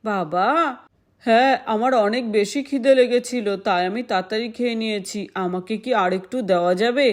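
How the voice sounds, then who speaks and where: A woman's voice: fairly high pitch, fairly quick, natural voice. A woman voicing a hungry, kindly boy god in a doll story, with an eager, earnest, childlike manner.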